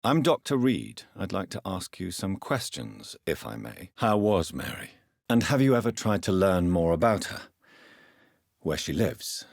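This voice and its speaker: British vampire doctor, very low husky voice, grave, courteous, measured formal diction, video game drama, close dry sound. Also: male voice, very animated, dry recording, full character voice. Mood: calm, inquiring. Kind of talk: dramatic game dialogue, formal period speech.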